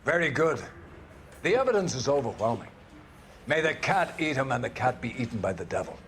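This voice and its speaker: An actor playing a stern, grandiloquent older police captain in a video game, preaching with paternal menace. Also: male voice, mid-range voice, sing-song, a bit bright, a little hiss or hum. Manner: grandiloquent, preachy, deliberate phrasing. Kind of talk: video game cutscene acting.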